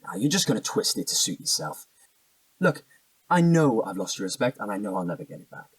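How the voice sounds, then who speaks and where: Husky, defensive cheating husband. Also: male voice, on the low side, big pitch swings, fairly quick, no room sound, recorded from a distance, low-quality recording. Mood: resigned bitter. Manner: defensive, protesting, stammering when cornered. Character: defensive, evasive cheating husband under questioning. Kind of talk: naturalistic audio drama dialogue.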